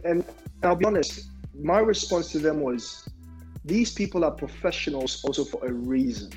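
A man speaking reflectively in a group discussion, deliberate and halting, often repeating words as he builds a point. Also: on the high side, a little mellow, low-quality recording. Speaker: discussion panelist.

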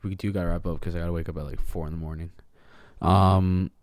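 Man, podcast co-host, very deep voice, dry close sound, laid-back casual chat. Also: level tone, fast, darkish tone, no room sound. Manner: laid-back, short agreeing interjections.

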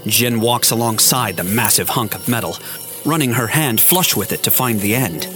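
A man's voice: medium pitch, moderate pace. A man giving a scripted, storytelling narration for a science fiction audio drama. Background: music.

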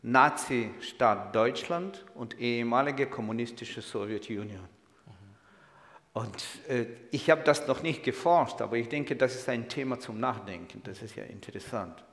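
A man's voice: mid-range voice, fairly bright tone. Man in his sixties, humorous testimony, reverberant hall. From Ukraine. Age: 60s. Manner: humorous, engaging the audience. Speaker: guest speaker. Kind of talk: personal testimony in church. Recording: echoey hall.

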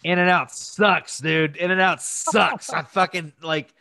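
A man's voice: high voice, slow pace, bright, forceful tone, dry recording, clean studio sound. A man with an American accent chatting casually as a podcast co-host, informal and freely swearing. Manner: informal, profane, conversational. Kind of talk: casual chat.

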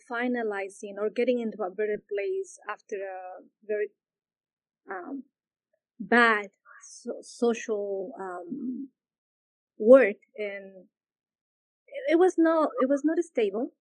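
A woman from Peru, a yoga teacher, answering interview questions slowly and with many pauses and fillers. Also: a little high, no echo, poor audio. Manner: hesitant, searching for words, many fillers.

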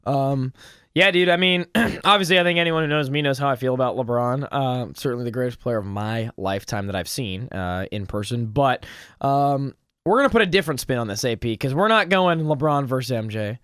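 A man with a slightly hoarse, mid-pitched voice co-hosts a casual sports chat, animated and joking with plenty of fillers. The sound is dry and close. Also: fairly quick, no room sound. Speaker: sports podcast co-host.

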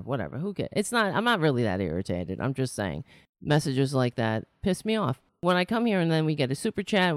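A woman's voice: lowish voice, no echo, studio quality. A woman from New York City hosting a political livestream show, talking casually and launching into an exasperated, sarcastic rant. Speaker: host of a political talk show.

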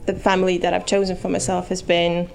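A woman's voice: fairly low pitch, completely flat delivery, quick pace, gravelly, a little mellow. A woman from Estonia, a government advisor, talking fluently and candidly on a panel. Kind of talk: panel conversation.

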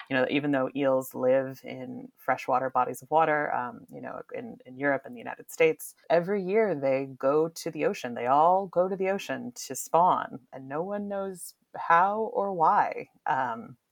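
A woman's voice: rumbling low, creaky, dry recording. A woman giving casual book recommendations in a conversational, enthusiastic way, like a publisher's presenter. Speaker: publisher's book marketing director.

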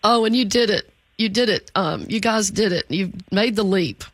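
A man's voice: sits high, normal speed, fairly bright tone, dry recording. A man hosting a radio talk show, greeting callers in a friendly, encouraging way.

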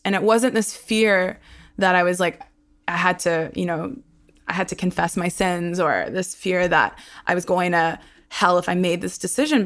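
A woman's voice: on the low side, fairly bright tone. A young woman who hosts a podcast, talking easily about her life and laughing often. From Australia. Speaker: podcast host. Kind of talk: conversational interview.

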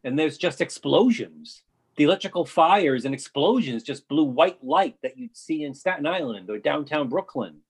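Brief: man reading a calm storm observer, breathy tone. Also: on the high side, normal speed. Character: man watching the blackout from a deck.

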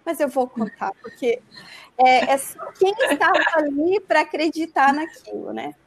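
Uma mulher jovem de Santa Catarina contando uma história de forma casual e animada, cheia de "né". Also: female voice, high-pitched, moderate pace, creaky. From Santa Catarina, Brazil. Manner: animated, frequent "né" tags. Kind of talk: casual storytelling.

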